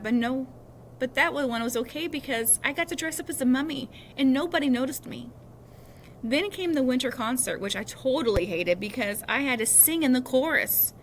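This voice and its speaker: A woman's voice: on the high side, fairly bright tone, slight background noise. A woman reading a children's novel aloud expressively, now and then correcting a small slip. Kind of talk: read-aloud storytelling.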